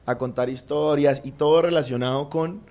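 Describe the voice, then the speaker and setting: A man with a Colombian accent, a podcast guest, reflectively recounting his family's past in an earnest, unhurried way. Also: fairly high pitch, steady tone, darkish tone. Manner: earnest, reflective, frequent "digamos" and "pues" fillers. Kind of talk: personal storytelling in conversation.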